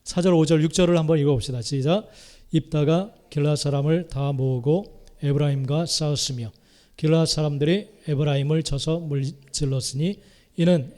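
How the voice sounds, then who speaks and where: Middle-aged male Korean pastor, slightly high voice, sermon delivery with repeated phrases and sharp loud-to-soft shifts. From Korea, Chungcheong province. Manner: swings from loud rising exhortation to soft muttered asides; repeats key phrases, calls out hallelujah.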